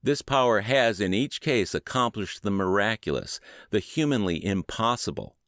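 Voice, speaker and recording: A man reading a book aloud as a scripted audiobook narration, with measured, emphatic and deliberate phrasing. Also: lowish voice, animated, fairly bright tone, dry recording, clean studio sound. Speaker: audiobook narrator and podcast host.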